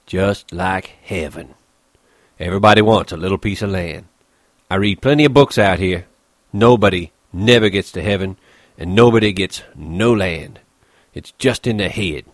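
Audiobook role: male narrator as a bitter, isolated ranch stable hand, lowish voice, scornful and brooding, colloquial, dry recording. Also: moderate pace, dry recording, lightly acted.

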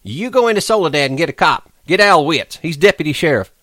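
A man voicing a furious, hotheaded young ranch boss's son who shouts high and hard. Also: expressive, quick pace, dry recording, studio quality.

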